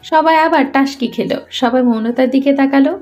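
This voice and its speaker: A woman's voice: high voice, a little fast, darkish tone, dull audio, faint music behind. A woman narrating a scripted romantic story.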